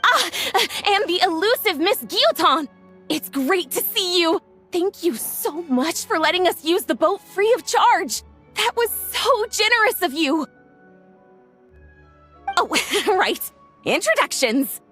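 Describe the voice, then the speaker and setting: A woman voicing an excitable, melodramatic female film director in an anime game, gushing theatrically. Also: very high voice, takes it slowly, full character voice. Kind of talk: anime video game voice acting. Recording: music playing behind the voice.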